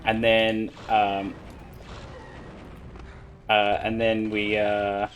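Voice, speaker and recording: A man's voice: on the low side, completely flat delivery, drawn-out words, slight background noise. A man chatting casually and explaining his play live as he speedruns a video game. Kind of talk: live explanation while playing.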